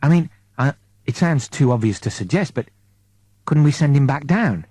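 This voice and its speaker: A man voicing a weary, insecure ordinary man in an audiobook, defensive and halting when flustered. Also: mid-range voice, lively intonation, mellow, voice pushed up, natural voice. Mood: hesitant, pleading. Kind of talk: audiobook character dialogue.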